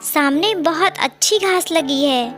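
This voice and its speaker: An innocent little girl bird from a children's cartoon, played by a woman. The voice is extremely high and childlike, with slow, earnest delivery. Soft music sits behind it. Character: innocent little female young bird, obedient daughter. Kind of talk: Hindi cartoon character acting.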